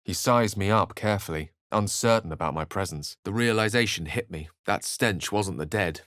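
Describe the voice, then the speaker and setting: A male actor as a learned, urbane game wizard with a low voice and a close, dry sound. He speaks eloquently and with theatrical care, measuring each phrase like a practiced storyteller. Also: normal speed, a bit bright, dry recording, lightly acted. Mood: grave, dramatic. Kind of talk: video game character dialogue and narration.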